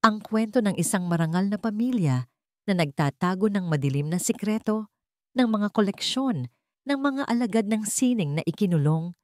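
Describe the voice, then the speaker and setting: A woman's voice: on the low side, darkish tone, no room sound, clean studio sound. A woman with a Filipino accent narrating a dramatic audiobook story from a script.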